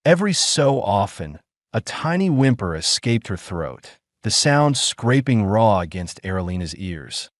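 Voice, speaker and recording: A low-voiced man narrates a sci-fi audiobook with dramatic, measured delivery. His pitch moves a lot, rising and dropping through the lines. The sound is close and dry with no room around it. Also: sing-song, dry recording. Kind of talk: scripted narration.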